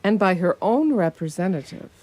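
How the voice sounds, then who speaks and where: A woman's voice: lowish voice, lively intonation, moderate pace, darkish tone, no room sound. A woman playing a droll, teasing detective's sidekick in a live radio comedy. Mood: dry, measured.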